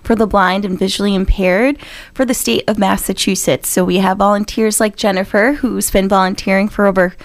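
A woman communications director giving brisk, promotional answers in a radio interview, laughing easily. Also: medium pitch, steady tone, dry recording.

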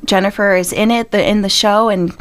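A woman communications director giving brisk, promotional answers in a radio interview, laughing easily. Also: mid-range voice, fairly even intonation, dry recording.

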